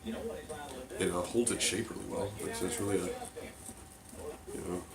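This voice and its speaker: A man's voice: sits low, big pitch swings, unhurried. A man with a cold, sounding stuffed up, chatting casually through a mask unboxing with lots of fillers. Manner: offhand, rambling, many fillers. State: congested from a cold. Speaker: mask collector reviewing a mask. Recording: loud music playing behind the voice. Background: loud music from a movie playing.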